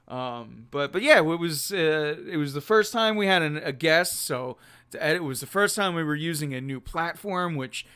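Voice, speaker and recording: A man hosting a casual live stream from a quiet home room on a condenser mic, rambling and self-deprecating with frequent laughs. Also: fairly high pitch, expressive, normal speed, clear, resonant tone. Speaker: host of a live stream show. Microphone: USB condenser mic.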